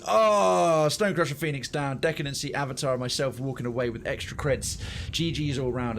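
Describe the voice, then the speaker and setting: A man hosting a game livestream, chatting casually with his viewers and repeating phrases for emphasis. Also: mid-range voice.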